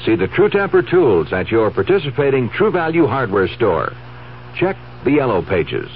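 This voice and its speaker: A man's voice: medium pitch, huge pitch swings, normal speed. A man delivering a scripted radio commercial as a product spokesman. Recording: dull, faintly hissy vintage radio.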